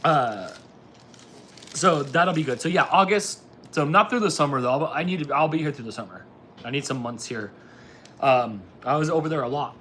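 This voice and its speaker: Male streamer, 40s, bright edgy tone, casual off-the-cuff chat, noticeable background noise. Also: fairly high pitch, normal speed. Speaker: livestream host. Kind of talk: casual chat with live viewers.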